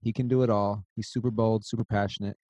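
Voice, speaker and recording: A man's voice: lowish voice, little pitch movement, quick pace, dark tone, no room sound. A man hosting a podcast, introducing a guest with playful, hyped-up banter. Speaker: podcast host. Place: Redding, California.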